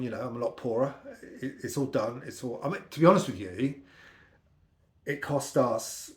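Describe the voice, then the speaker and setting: A middle-aged British man with a slightly croaky voice, chatting casually and telling personal anecdotes in a rambling, conversational way. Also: medium pitch, echoey room. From United Kingdom. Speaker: vlogger.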